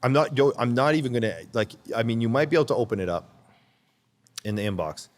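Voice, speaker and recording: A man's voice: mid-range voice, lively intonation, quick pace, in a large hall. A man talking as a guest in a casual interview, rambling and repeating words as he searches for his thoughts. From Canada.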